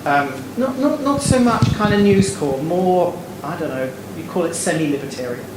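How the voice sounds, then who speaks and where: A man's voice: on the high side, lively intonation. Man talking wryly on an echoey stage. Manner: fast, digressive, wry, many fillers. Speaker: lecturer. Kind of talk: panel discussion answer. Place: stage before a live audience in a hall. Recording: echoey hall, air conditioning hum.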